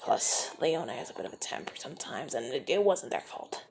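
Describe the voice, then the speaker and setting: A man playing a gravelly, suave mafia charmer. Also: mid-range voice, big pitch swings, a little fast, slight background noise. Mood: earnest, confiding. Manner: soft-spoken, often whispering, stammers when flustered. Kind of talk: ASMR audio roleplay.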